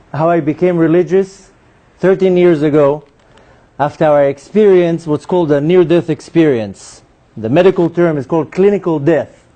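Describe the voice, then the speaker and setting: A man speaks to a gathered audience, telling his story with animated turns into acted-out dialogue. His voice sits high for a man, yet it sounds mellow and soft-edged rather than bright. He talks like a practiced storyteller holding a room. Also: dull audio. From Israel. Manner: animated storyteller who acts out dialogue in a higher voice. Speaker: speaker giving a talk. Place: synagogue hall.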